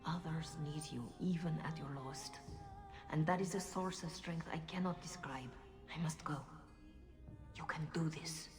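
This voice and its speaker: An actress playing a mysterious, solemn female mentor, speaking in a hushed, grave, consoling way in a video game. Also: sits low, normal speed, music in the background, close to an everyday voice. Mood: consoling, firm. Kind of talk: video game cutscene acting.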